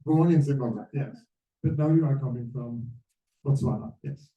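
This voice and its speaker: A man speaking as a panel moderator, giving a public talk in halting, emphatic short phrases. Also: mid-range voice. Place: echoey room at a panel event. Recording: muffled, echoey room, distant mic.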